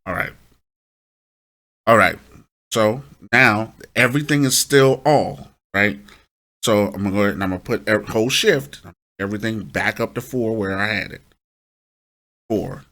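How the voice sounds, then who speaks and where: A man's voice: lowish voice, measured pace, pauses often, bright, forceful tone. A man hosting an informal step-by-step tutorial, instructing and often checking in with short tag questions. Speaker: host of a music production tutorial.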